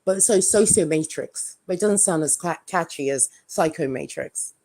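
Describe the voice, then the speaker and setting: A woman with an English accent talks bluntly and with dark humor, her voice sitting a little low. She is a guest on a casual live stream. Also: normal speed. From Jamaica.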